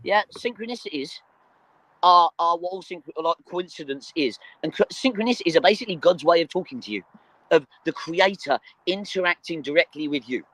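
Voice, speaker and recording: A man's voice: fairly high pitch, expressive, fairly quick, a bit bright, no echo, poor audio. A man in a casual spiritual discussion, speaking earnestly and emphatically as a group participant, often stammering and repeating words.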